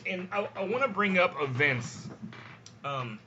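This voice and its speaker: A man hosts his own podcast, spinning a rambling, crude story and breaking into laughter along the way. His voice sits a little high and has a bright, forceful edge. The recording is slightly dull, with a faint hiss underneath. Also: expressive, unhurried. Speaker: podcast host. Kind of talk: casual storytelling monologue.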